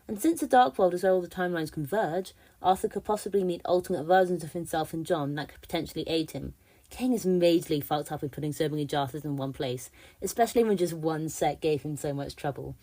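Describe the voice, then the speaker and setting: A woman's voice: on the low side, warm tone. A woman delivering prepared fan commentary as a podcast contributor.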